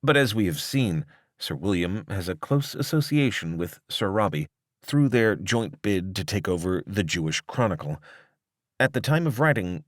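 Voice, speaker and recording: Deep-voiced guy reading out an investigative article, measured and formal, really dry close sound. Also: no room sound. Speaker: narrator reading a long-form article. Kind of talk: scripted narration, journalistic audio article.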